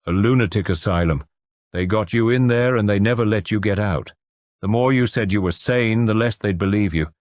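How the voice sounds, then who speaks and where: A man's voice: on the low side, normal speed, a little mellow, dry recording, studio quality, close to an everyday voice. A man voicing a well-off woman, indignant and wary, speaking in a clipped, guarded way. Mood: grim.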